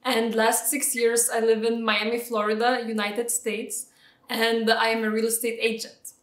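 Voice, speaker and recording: A woman's voice: on the high side, level tone, unhurried. Lithuanian-accented woman on a distant, roomy call. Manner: chatty, frequent fillers and restarts. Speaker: guest, real estate agent. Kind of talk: casual interview answers. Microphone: video call.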